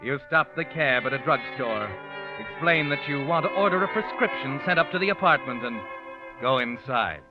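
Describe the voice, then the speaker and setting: Sinister old-radio narrator played by a man, swooping pitch. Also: expressive, normal speed, close to an everyday voice. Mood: mocking, ominous. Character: sinister omniscient male narrator, knowing and mocking. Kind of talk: 1940s radio suspense narration in second person. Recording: dull lo-fi old radio recording. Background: dramatic music.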